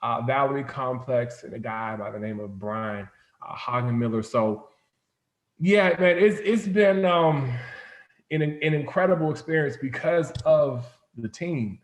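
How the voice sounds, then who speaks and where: A man's voice: medium pitch, a little slow, echoey room. A man talking informally as an interview guest, telling stories in a rambling, conversational way. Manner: informal, rambling storytelling with frequent "like". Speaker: interview guest, comics company founder. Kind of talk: casual interview chat.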